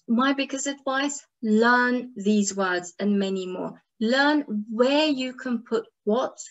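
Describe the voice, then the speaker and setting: Slow-paced woman lecturing, Turkish native. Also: on the high side, muffled recording. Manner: measured teaching, frequent fillers and rhetorical questions. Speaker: academic English instructor. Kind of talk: online workshop lecture.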